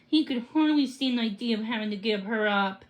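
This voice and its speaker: A female reader telling a children's story aloud, expressive with dialogue and stumbling over words now and then. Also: on the high side, poor audio. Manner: halting, stumbles and rereads words.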